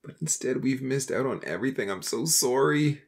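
A man playing a grief-stricken youth in a dramatised audiobook, tearful, halting and pleading through sobs. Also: medium pitch, animated, natural voice. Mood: tearful remorse. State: sobbing.

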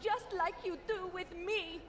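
An actress playing a headstrong young daughter in a video game, indignant and firm. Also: female voice, very high-pitched, fairly even intonation, unhurried, a bit bright, some chatter behind. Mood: defiant, angry. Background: music.

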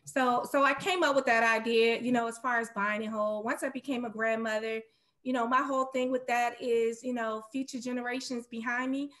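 A woman's voice: on the high side, steady tone, brisk, a bit bright. A middle-aged woman talking casually about her business as an interview guest on a video call. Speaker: guest real estate investor. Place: room at home, indoors, on a video call.

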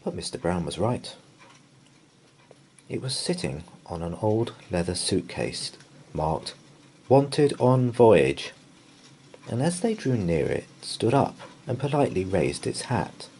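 A man reading a children's picture book aloud in an expressive storytelling style. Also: deep voice, a little slow, a little hiss or hum.

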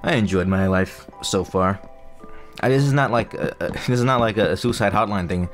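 Rambling young man, low voice. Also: moderate pace, faint music behind. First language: not English. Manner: rambling, self-mocking, full of fillers and restarts. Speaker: gaming YouTuber. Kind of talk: casual gameplay commentary.

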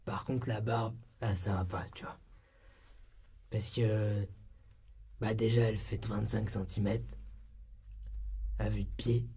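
A young man playing a cheeky, laid-back ex-con turned rookie barber in a comedy ASMR roleplay, soft-spoken and breathy, with slangy, hesitant talk. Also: on the low side, flat delivery, takes it slowly, pauses often, full character voice. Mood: mock-menacing, teasing. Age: young adult. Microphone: close binaural microphone.

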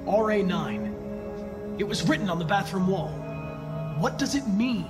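A man's voice: high-pitched, lively intonation, unhurried, music in the background. An actor playing a polite, analytical young male android police investigator with a precise, composed way of speaking in a video game. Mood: probing, controlled.

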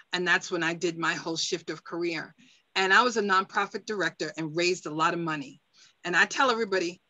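A woman's voice: fairly low pitch, dry recording. A middle-aged woman on a video call, speaking with energy and encouragement. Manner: energetic, encouraging, rising emphasis. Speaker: panelist, interviewer. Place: home room, indoors.

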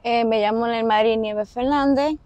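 Young puerto rican woman telling her story outdoors, kinda high voice, stops and starts, no echo. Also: steady tone, a little mellow. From Puerto Rico. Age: young adult. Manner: halting, pausing mid-sentence. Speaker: interviewee. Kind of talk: personal testimony in a casual interview. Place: outdoors in an open park.

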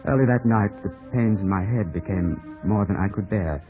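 An earnest, lovestruck young English landowner with a low voice acted by a man in an old radio play. His diction is literary, and music sits behind the dull broadcast sound. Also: moderate pace, close to an everyday voice. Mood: narrating, sombre. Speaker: radio drama actor and narrator. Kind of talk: 1950s radio acting.

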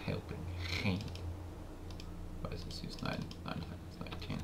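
A man with a raspy voice, casually commenting while he plays a game, joking and muttering asides. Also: a little slow. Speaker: gameplay commentator. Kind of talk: casual let's play commentary. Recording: noisy home recording with game sounds.

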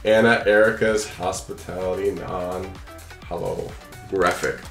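A man's voice: sits low, fairly even intonation, slow, gravelly, fairly bright tone, roomy sound, faint music behind. A young man chatting casually and playfully as he hosts a card-opening video, talking to viewers and his pet. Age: young adult. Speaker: host of a card-opening channel. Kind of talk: casual chat, running commentary on opening trading cards. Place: indoors, small room at home.

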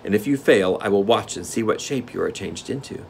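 A man's voice: on the low side, brisk, vocal fry, a little mellow, slight background noise. A man voicing an earnest, plucky little farm girl in a plain-spoken storybook manner. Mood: anxious.

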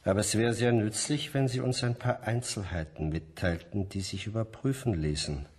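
An actor in a German radio play playing a research scientist who questions formally and politely. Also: male voice, lowish voice, moderate pace, darkish tone, lightly acted. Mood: polite, coaxing. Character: male research scientist, probing, polite and businesslike.

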